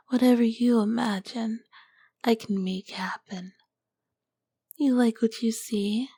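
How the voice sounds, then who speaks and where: Ancient succubus queen played by a woman, breathy gravelly whisper, slow and teasing, dry close sound. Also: medium pitch, dark tone, no echo, full character voice. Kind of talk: whispered audio roleplay.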